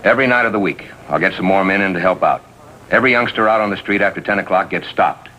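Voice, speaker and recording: An actor playing a decisive, hard-line police captain in 1950s TV drama style, curt and commanding. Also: male voice, quick pace, pushed and bright. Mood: decisive, firm. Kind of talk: 1950s TV crime drama acting. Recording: noisy old TV soundtrack with rumble.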